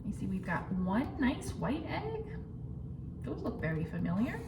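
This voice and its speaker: A woman's voice: medium pitch, wildly swooping pitch, unhurried. A woman reading a picture book aloud to young children in simple phrasing, with exaggerated suspense and questions to her listeners. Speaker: children's storytime reader. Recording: roomy, distant mic, clearly noisy background.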